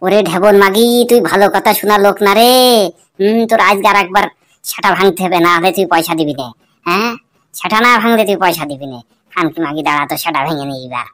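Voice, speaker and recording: A woman's voice: on the low side, quick pace, fairly bright tone, natural voice. A woman voicing an abusive, foul-mouthed bald drunkard of a husband in a cartoon comedy. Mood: angry bullying. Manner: threatening, swearing, demanding. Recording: very noisy, clicking background.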